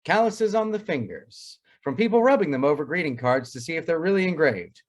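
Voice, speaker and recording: A man's voice: fairly high pitch, expressive, a little fast. A man playing a wry, pompous family doctor in old-time radio comedy, wordy and drily sarcastic. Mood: mock lament.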